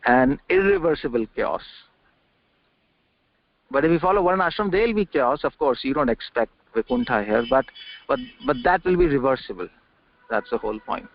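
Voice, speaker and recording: A man's voice: mid-range voice, animated, normal speed. Rambling man giving a lecture. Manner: rambling, repeats words, rhetorical questions. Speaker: lecturer on scripture. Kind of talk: informal scripture lecture. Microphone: conference call line. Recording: dull dry conference call audio.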